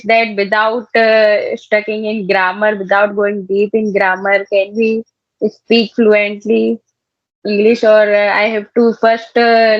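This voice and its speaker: A woman's voice: mid-range voice, dry recording. A young woman with an Indian accent, a native Hindi speaker, politely asking an English teacher questions with many hesitations. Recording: dull lo-fi dry audio.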